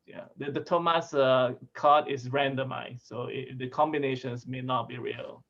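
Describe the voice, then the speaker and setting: A man from Malaysia, a food sensory scientist, giving a relaxed talk over a video call with restarts and chuckles. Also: mid-range voice, moderate pace, gravelly. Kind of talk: casual video-call presentation. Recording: dull lo-fi video call audio.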